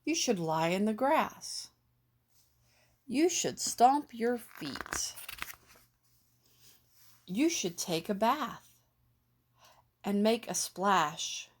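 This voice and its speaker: Woman playing cheerful, nosy, high-pitched jungle animals. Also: very animated, very slow, long pauses, exaggerated voice. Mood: coaxing. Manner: bright, coaxing questions and suggestions. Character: cheerful, nosy jungle animals giving well-meant advice.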